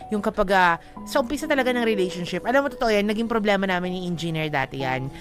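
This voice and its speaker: A Filipino woman co-hosting a love-advice talk show, chatting casually in an expressive, playful, emphatic and slangy way. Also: medium pitch, quick pace, faint music behind. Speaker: radio show co-host giving love advice. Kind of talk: casual bantering talk, Tagalog-English mix.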